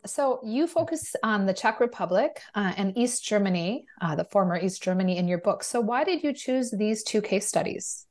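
A woman's voice: medium pitch, lively intonation, normal speed, hardly any pauses, darkish tone. A woman, an oral historian, hosting an interview, reading the guest's introduction and asking questions. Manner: friendly, reads introduction then asks questions. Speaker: podcast host, oral historian.

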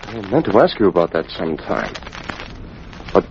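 An actor playing an earnest, cautious, law-abiding gentleman in a radio drama, speaking formally. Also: sits low, very animated, moderate pace, creaky, full character voice. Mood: serious, cautious. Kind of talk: 1940s radio acting. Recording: dull, noisy old radio recording.

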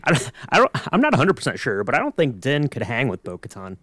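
A man's voice: medium pitch, huge pitch swings, fast talker, fairly bright tone, no room sound. A man co-hosting a podcast in casual, joking chat. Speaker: podcast co-host.

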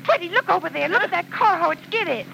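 A woman's voice: very high voice, expressive, fast, vocal fry, heavily acted. An actress playing an anxious, watchful woman passenger in an old radio drama. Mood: alarmed. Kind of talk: 1940s radio drama acting. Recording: noisy old radio, storm and car effects.

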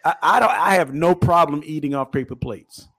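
A man's voice: fairly high pitch, moderate pace, a bit bright, slightly rough, no echo. A middle-aged man co-hosting a talk show, speaking emphatically in a casual preaching style and often repeating words. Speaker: podcast co-host.

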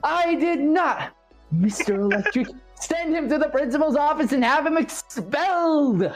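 A man's voice: very high voice, expressive, a little slow, soft background music. A man hosting a live stream, chatting casually with mock-serious, indignant banter.

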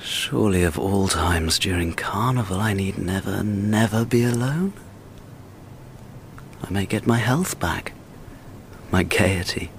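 A man plays a haunted, dissolute painter in a radio play, theatrical and grandiose. His pitch leaps and dips in a lively way as he swings between bravado and desperation. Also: slight background noise. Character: haunted, vain, dissolute painter, growing frantic.

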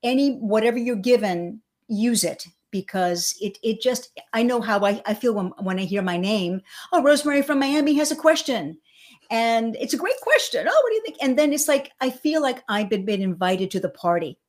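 A woman's voice: on the high side, animated, moderate pace. A woman born in Cuba whose first language is Spanish, a speaker coach talking in an interview over a video call. She talks animatedly, with frequent restarts, and jumps her voice up when acting out quotes. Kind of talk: livestream interview.

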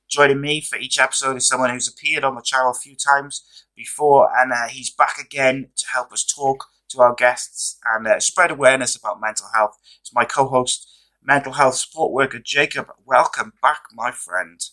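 A man hosting a podcast in relaxed, friendly chat, hoarse as he loses his voice. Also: mid-range voice, moderate pace, low-quality recording. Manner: relaxed, chatty, friendly with fillers. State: losing his voice. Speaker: podcast host. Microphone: podcast microphone.

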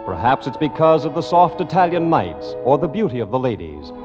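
A man's voice: mid-range voice, sing-song, fairly quick, music in the background. A man announcing in a scripted 1940s radio style, with a polished, genial sales delivery. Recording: dull old radio recording, long reverb.

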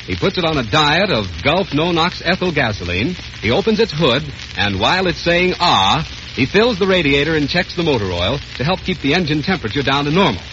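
A man's voice: on the high side, big pitch swings, fairly quick, bright, forceful tone, slight background noise. An American man announcing in scripted 1930s radio sponsor style. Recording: muffled old radio broadcast with music.

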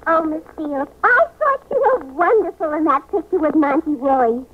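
A woman's voice: high voice, lively intonation, normal speed. A woman playing a gushing, naive, man-hungry tavern daughter in a 1940s American radio comedy. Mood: gushing, curious. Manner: gushing, eager. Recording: tinny old radio recording, faint noise.

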